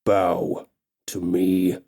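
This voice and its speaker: A man voicing a huge male orc king who speaks slowly in a raw, hoarse, stern voice. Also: sits low, monotone, mellow, voice pushed down, full character voice. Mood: commanding. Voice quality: raw, hoarse, slow and menacing.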